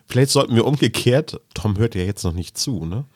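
A man's voice: medium pitch, expressive, brisk, a little mellow, slightly rough. A man, hosting a podcast and chatting casually about radio dramas. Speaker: podcast host.

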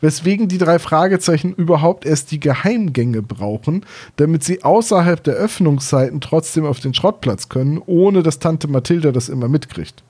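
A man's voice: on the high side, expressive, normal speed, warm tone, husky. A man co-hosting a casual discussion of books and audio dramas in a chatty, explanatory way. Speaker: podcast co-host.

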